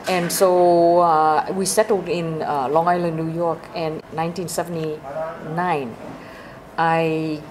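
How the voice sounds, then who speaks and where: A woman's voice: sits low, level tone, takes it slowly, a little mellow, poor audio. An older woman from Vietnam telling her life story in an interview, hesitant with many fillers and frequent laughs. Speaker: interview guest. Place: restaurant in Bangkok. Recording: busy crowd noise behind.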